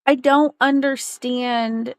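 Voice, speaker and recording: A woman with a high voice talks on a tense phone call. She stays calm and hesitant, choosing her words carefully as she asks probing questions. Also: slow pace, no room sound. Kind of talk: tense personal conversation.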